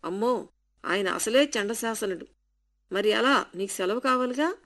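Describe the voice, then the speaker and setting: A woman's voice: on the high side, lively intonation, measured pace, fairly bright tone. A woman reading the part of a young wife who pleads with her boss for leave in grief. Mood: anxious. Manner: pleading, sorrowful. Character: grieving young wife, office clerk.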